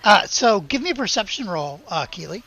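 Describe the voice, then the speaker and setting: A woman with a slightly husky, gravelly voice running a tabletop roleplaying game as game master, moving between casual talk and read-aloud narration. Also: mid-range voice, big pitch swings, brisk, fairly bright tone, highs cut off.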